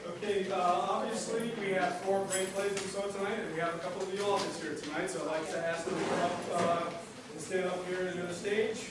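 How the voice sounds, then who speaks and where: A man's voice: sits high, fairly even intonation, a little fast. A man informally hosting a discussion from a stage as moderator. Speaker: moderator of author talkback. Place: indoor stage at an arts venue. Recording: echoey noisy hall, distant mic, crowd.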